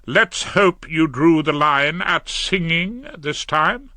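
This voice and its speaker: A man's voice: fairly high pitch, animated, takes it slowly, bright, forceful tone, dry recording, clean studio sound, voice pushed up, exaggerated voice. A stern imperious wife, as a man voices her. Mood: indignant. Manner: clipped, imperious and reproachful. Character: stern domineering middle-aged wife, indignant. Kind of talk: audiobook character voice.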